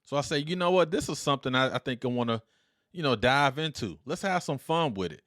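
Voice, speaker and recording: A middle-aged man hosting his own podcast solo, talking in a loud, confident, upbeat and deliberate way into a dynamic studio mic. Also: medium pitch, animated, no room sound. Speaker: podcast host.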